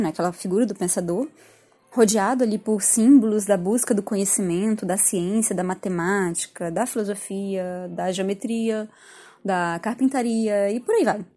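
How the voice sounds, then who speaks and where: A woman's voice: medium pitch, dark tone. A woman with a Brazilian accent giving an informal, reflective spoken commentary with a lightly self-deprecating manner. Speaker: art history teacher.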